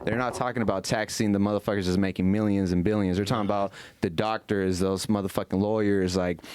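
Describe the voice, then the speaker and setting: A man's voice: fairly low pitch, steady tone, fast, a little mellow, clean tone, dry recording. A man talking casually and with opinions on a group podcast, explaining at length in slangy, profane language.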